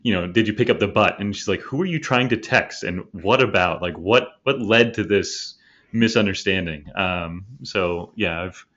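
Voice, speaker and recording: A man co-hosting a podcast in friendly, casual talk, pausing often with fillers and restarts. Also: sits low, fairly bright tone, highs cut off. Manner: hesitant, lots of fillers, friendly. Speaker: podcast co-host.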